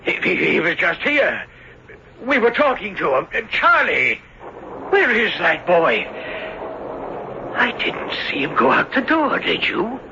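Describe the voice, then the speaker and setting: A man's voice: sits high, wildly swooping pitch, measured pace, creaky, pauses often, full character voice. A man acting in an old-time radio drama as an anxious, bewildered middle-aged father who stammers in agitation. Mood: bewildered, calling out. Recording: dull, hissy old radio recording.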